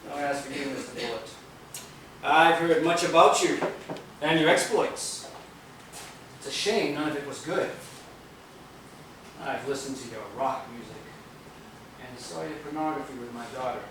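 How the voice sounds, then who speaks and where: A man on stage playing a menacing, smug corporate tyrant and stern father, lecturing pompously with deliberate pauses. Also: medium pitch, a bit bright, lightly acted. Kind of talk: theatrical stage acting. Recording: distant, echoey theater videotape with noise.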